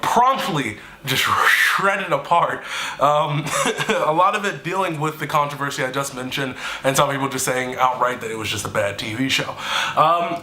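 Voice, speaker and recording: A young man hosting a casual review, talking in an animated, chatty way, a bit stuffy from allergies. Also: on the high side, echoey room. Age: young adult. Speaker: show host, reviewer. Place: small indoor office room.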